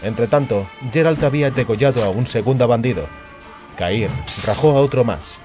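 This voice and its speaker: A man's voice: mid-range voice, moderate pace, darkish tone. A man narrating fantasy fiction as a dramatic, scripted audiobook. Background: background music.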